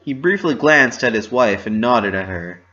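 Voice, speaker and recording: A man's voice: mid-range voice, animated, moderate pace, bright, forceful tone, highs cut off. A man narrating a story as a scripted dramatic reading.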